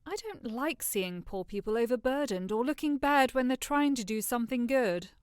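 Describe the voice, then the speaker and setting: A woman playing a thoughtful, tender-hearted noble bride in a modernized Shakespeare audio drama, speaking in a measured, earnest way. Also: fairly high pitch, animated, clean tone, dry recording, clean studio sound, lightly acted. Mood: concerned.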